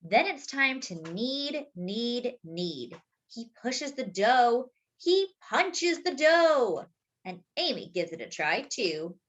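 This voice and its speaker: A woman with a high, lively voice reads a picture book aloud to young children. She takes her time, lingering on important words with exaggerated emphasis. Between sentences she adds short, simple explanations for her listeners. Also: takes it slowly. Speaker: children's story time reader and host.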